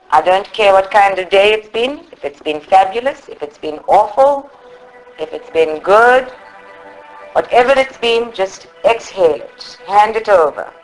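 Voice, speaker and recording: A woman from South Africa leading a guided meditation in a soothing, instructive manner, with long pauses between short phrases. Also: medium pitch, low-quality recording. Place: live group meditation session at a centre.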